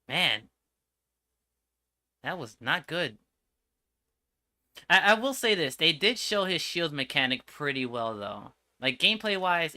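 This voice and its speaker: Very bright-voiced man, streaming reactions. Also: a little high. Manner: slangy, exasperated, repetitive. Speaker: streamer reacting to game trailers. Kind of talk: casual reaction livestream commentary.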